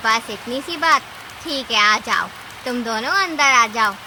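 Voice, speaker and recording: A domineering old cartoon animal auntie, played by a woman, lazy and scheming, handing out demands. Clear rain noise sounds behind her. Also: a little high, measured pace. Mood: grudging. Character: Meenu Mausi, bossy, scheming, lazy older auntie animal. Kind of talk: Hindi cartoon story dialogue.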